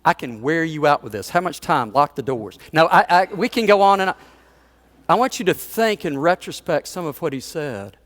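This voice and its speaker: A man's voice: a little high, animated, moderate pace, a bit bright. A male pastor delivering a sermon in a conversational storytelling manner, with chuckles and playful asides to the congregation.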